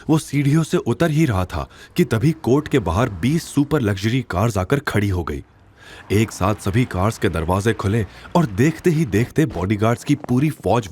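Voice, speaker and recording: A man's voice: lowish voice, darkish tone, a little hiss or hum. A man narrating a scripted audio drama in a dramatic storytelling style.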